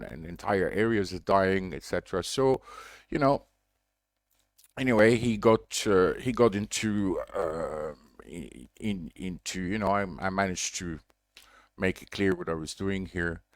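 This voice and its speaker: A man's voice: low voice, dry recording, clean studio sound. A man from Belgium, a diver running a reef conservation project, tells a long story in a casual livestream update, rambling with lots of fillers and restarts.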